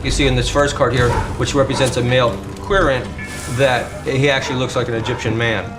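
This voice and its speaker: A man's voice: medium pitch, steady tone, a little fast. A man talking informally as a documentary interviewee, showing and explaining things. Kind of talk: informal demonstration talk. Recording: echoey room, distant mic. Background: loud music.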